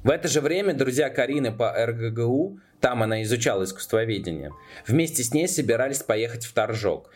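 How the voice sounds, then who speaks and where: A man's voice: medium pitch, animated, fairly quick, hardly any pauses, darkish tone. A man narrating a scripted documentary podcast as its narrator. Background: background music.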